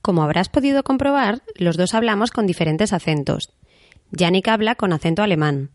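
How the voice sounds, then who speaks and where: A woman from Spain with a Madrid accent, hosting a podcast interview and speaking slowly and clearly for learners. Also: mid-range voice, lively intonation, no echo, studio quality. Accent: Madrid Spanish. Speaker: podcast host and Spanish teacher.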